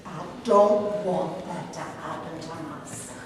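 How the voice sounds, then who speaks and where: A woman's voice: fairly low pitch, takes it slowly. An actress in a staged play reading, playing a brash, wheedling birth mother who lives on the street. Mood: pleading. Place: theater stage. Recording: echoey hall from far off, faint noise.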